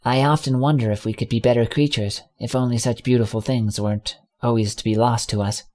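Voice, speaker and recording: A man's voice: medium pitch, fairly even intonation, a little fast, a little mellow, lightly acted. A man doing a nervous stammering pony mare. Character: anxious young mare pony.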